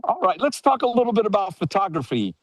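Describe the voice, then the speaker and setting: Male host, jokey and upbeat, a little higher voice, dry close sound. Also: sing-song, fast, no echo. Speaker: interviewer and host. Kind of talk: hosted interview on a video call. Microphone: video call.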